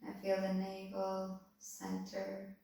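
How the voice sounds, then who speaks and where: A woman calmly leading a guided body-scan meditation, giving soothing, unhurried instructions. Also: mid-range voice, creaky. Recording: very echoey room, voice distant from mic.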